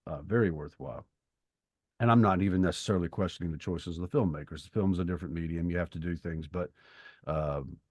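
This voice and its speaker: A man in his fifties co-hosting a podcast, talking reflectively and thoughtfully with pauses between phrases. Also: sits low, darkish tone, no echo. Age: 50s. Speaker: podcast co-host. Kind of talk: reflective conversational podcast talk.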